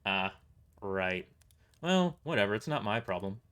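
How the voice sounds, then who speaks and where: A man's voice: lowish voice, sing-song, measured pace, a bit bright, smooth. A man voicing a small, casual, unbothered female mercenary in an amateur audio drama. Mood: nonchalant.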